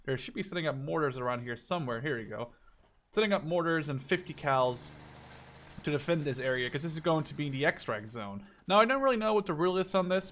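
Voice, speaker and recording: A man's voice: on the high side, fairly bright tone. A man giving casual, unscripted video game commentary as a spectator, thinking aloud in an informal way.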